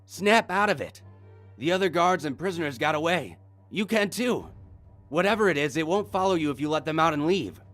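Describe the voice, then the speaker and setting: A man voicing an insistent male prisoner who yells and demands answers from his cell. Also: a little high, lively intonation, brisk, faint music behind, pitched above the performer's own voice. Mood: yelling. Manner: yelling, demanding. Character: insistent male prisoner yelling through the bars.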